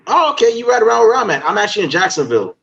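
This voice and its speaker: A young man from Guyana hosting a casual interview, animated and playful, talking in easy slang. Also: high voice, rapid-fire, vocal fry, highs cut off. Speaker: podcast host.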